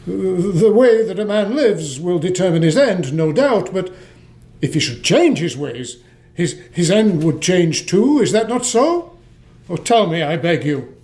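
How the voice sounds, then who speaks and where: An actor playing an excitable old miser in a radio play, stammering and exclaiming theatrically. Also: male voice, high voice, measured pace, full character voice. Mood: fearful. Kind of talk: stage-like radio play acting.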